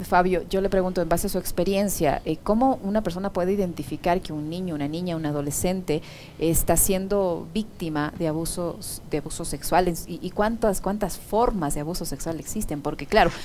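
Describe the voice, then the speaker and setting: Una mujer, presentadora de radio, que entrevista a un invitado haciendo preguntas encadenadas. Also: female voice, on the low side, fairly quick, darkish tone, slight background noise. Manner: asks layered questions. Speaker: radio host. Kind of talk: radio interview.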